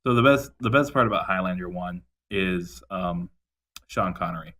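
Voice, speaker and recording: A man's voice: sits low, normal speed, bright, forceful tone. A man co-hosting a podcast in casual chat, laid-back and teasing, who laughs easily. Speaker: podcast co-host.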